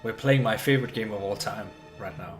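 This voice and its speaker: A man's voice: on the low side, fairly quick. Polite Danish man streaming, game music behind. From Denmark. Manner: polite, chatty, often restarts words. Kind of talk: casual live-stream chat while gaming.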